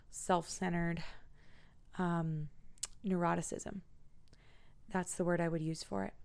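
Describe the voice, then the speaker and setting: A woman in her twenties hosts a solo podcast from home, low in pitch with a gravelly edge to her voice. She is stuffy from a cold and thinks aloud, searching for words with frequent ums and pauses. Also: a little mellow. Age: 20s. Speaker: podcast host. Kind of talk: casual solo podcast monologue.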